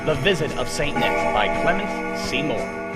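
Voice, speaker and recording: A man's voice: fairly high pitch, sing-song, moderate pace, loud music behind the voice. A man playing a formal old-time network announcer introducing a radio play.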